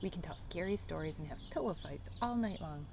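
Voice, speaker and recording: A woman's voice: fairly low pitch, lively intonation, a little fast, gravelly, background noise. A woman voicing a bold, teasing young boy in a children's storybook. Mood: excited. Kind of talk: children's storybook read-aloud.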